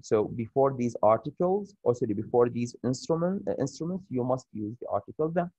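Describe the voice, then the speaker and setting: A man with an Arabic accent teaching an English grammar lesson over a shared screen, pausing often with fillers and restarts. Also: medium pitch, lively intonation, mellow, slightly rough, no echo, highs cut off. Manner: halting, many fillers and restarts.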